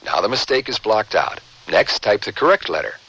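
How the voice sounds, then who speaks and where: An actor playing a brisk instructor demonstrating a product in a scripted radio advertisement. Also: male voice, on the low side, sing-song, sharp tone, slightly rough, heavily acted, rough recording. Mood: instructive. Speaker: commercial announcer. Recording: radio ad with faint noise.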